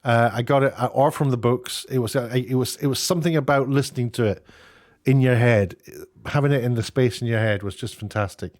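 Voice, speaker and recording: A British man talks through his opinions as an online commentator, chatty and unscripted. His voice sits at an ordinary mid pitch, and he wanders from point to point, dropping in dry, sarcastic asides. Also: fairly quick, a little mellow. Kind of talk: casual opinionated commentary, reading out tweets and figures.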